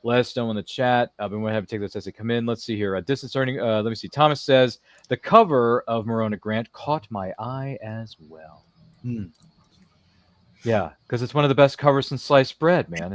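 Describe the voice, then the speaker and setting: A man's voice: mid-range voice, normal speed, dry recording. A man hosting a casual live interview show, reading viewer comments and throwing in slangy, theatrical, joking asides. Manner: jokey, slangy, theatrical asides to people not present.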